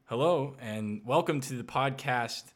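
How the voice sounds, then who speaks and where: A man's voice: mid-range voice, slight background noise. Jokey man co-hosting a podcast. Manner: quick jokey interjections. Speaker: podcast co-host. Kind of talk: improvised comedy chat.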